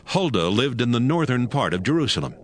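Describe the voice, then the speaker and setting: A man narrating scripture as a scripted, dramatized audiobook in a measured storytelling style. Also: medium pitch, big pitch swings, a little hiss or hum. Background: prominent music.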